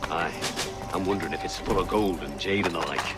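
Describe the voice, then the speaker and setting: Actor as a fast-talking low-voiced soldier, loud music. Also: male voice, lightly acted. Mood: idle greed. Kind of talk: video game background dialogue.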